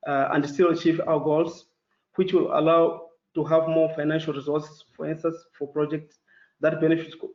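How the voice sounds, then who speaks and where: Man. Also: fairly high pitch. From Mozambique. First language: Portuguese. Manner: careful, halting, with many fillers. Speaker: panelist. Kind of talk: formal webinar presentation. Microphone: video call. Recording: dull echoey video call audio.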